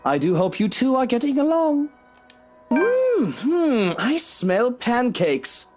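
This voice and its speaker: A cheeky, flamboyant rich man in a visual novel. His pitch leaps dramatically up and down as he drawls playfully, with music underneath. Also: sing-song, slow pace, exaggerated voice. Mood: playful, teasing. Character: Luke, cheeky, theatrical rich husband.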